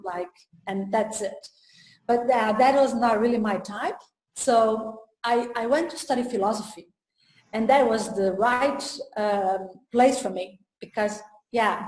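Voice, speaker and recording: Woman, from Portugal, unhurried, halting speech, roomy echo. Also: a little high, darkish tone. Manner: slow and hesitant, many fillers and pauses. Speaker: trainer and coach. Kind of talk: casual interview talk. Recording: echoey room.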